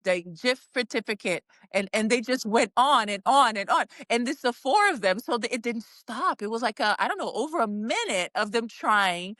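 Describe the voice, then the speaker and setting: A woman's voice: on the high side, lively intonation, moderate pace, hardly any pauses, fairly bright tone, no echo, studio quality. A middle-aged woman whose first language is Portuguese, a guest wellness coach talking casually and encouragingly on a podcast, with frequent ums. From Brazil.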